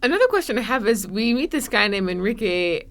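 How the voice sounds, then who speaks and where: A woman's voice: on the high side, big pitch swings, brisk, fairly bright tone. A woman hosting a podcast interview in a casual, informal and curious way. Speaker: podcast host interviewing a guest.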